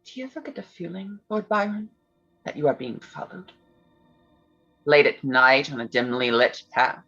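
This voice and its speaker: A woman's voice: low voice, animated, moderate pace, breathy edge, faint music behind, exaggerated voice. Woman acting intense gothic storyteller, muffled audio. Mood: taunting, eerie. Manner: suspenseful, pointed, shifting into hushed tones. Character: Mary Shelley, intense, teasing, theatrical woman storyteller. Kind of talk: dramatic staged reading of a gothic tale.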